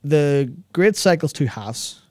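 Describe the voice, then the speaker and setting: Male co-host, thirties, soft mellow tone, very dry close-mic sound, rambling casual explainer. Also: on the high side, animated, slow pace, no echo. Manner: rambling, self-correcting explanations. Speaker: co-host of a gaming discussion show. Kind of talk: casual analytical chat about game lore. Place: small indoor studio with acoustic foam. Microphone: close broadcast microphone on boom arm.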